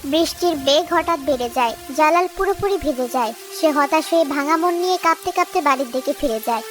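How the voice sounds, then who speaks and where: High-voiced woman narrating a story. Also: brisk. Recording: music behind the voice.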